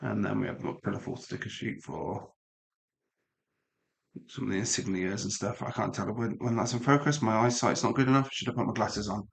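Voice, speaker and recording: A man's voice: on the low side, steady tone, a little fast, creaky, roomy sound, slight background noise. A middle-aged man with an English Midlands accent, chatting casually and rambling with asides to viewers. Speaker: live-stream Lego builder. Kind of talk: casual live-stream chat while building.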